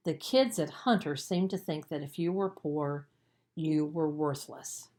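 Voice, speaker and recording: A woman narrating a children's book as its author, in an expressive storytelling manner with deliberate emphasis. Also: low voice, slight background noise. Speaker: author reading own book.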